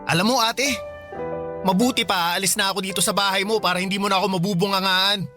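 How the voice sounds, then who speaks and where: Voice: medium pitch, steady tone, over loud music, lightly acted. An actor playing a defiant young brother. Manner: defiant, sulky, retorts quickly. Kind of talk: radio drama acting.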